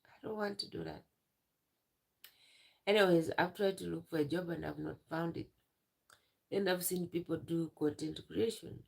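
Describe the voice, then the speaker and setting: A young woman on a live stream, tearful and emotional, talking in halting phrases and repeating herself. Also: on the low side, fairly even intonation, gravelly, husky. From Uganda. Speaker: livestreamer.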